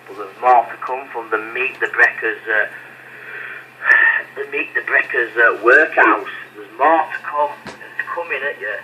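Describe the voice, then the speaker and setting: A man's voice: mid-range voice, pushed and bright. A man on a CB radio channel, arguing bluntly and swearing as he insists on his point. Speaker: CB radio operator and channel host. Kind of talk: argumentative radio chat. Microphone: CB radio handset. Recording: lo-fi CB radio transmission with static. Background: radio static hiss.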